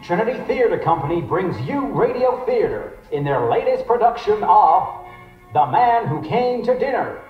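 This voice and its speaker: A man's voice: high voice, sing-song, unhurried, soft background music. A man giving a theatrical radio show announcement as its announcer. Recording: distant, echoey, dull lo-fi sound.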